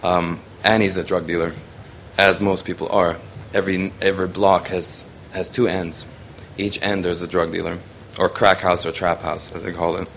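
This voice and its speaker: Young man from Hong Kong, deep low voice, loose rambling delivery over faint background noise. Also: level tone, normal speed, creaky, fairly bright tone. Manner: rambling, many ums and restarts. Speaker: student photographer presenting his work. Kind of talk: casual spoken presentation.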